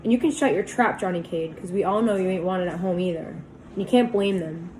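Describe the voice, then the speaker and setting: A woman's voice: lowish voice, fairly even intonation, moderate pace, a little mellow, slight background noise, close to an everyday voice, rough recording. Woman voicing a teenage boy, touchy, bitter, passionate, raised voice. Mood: bitter outburst.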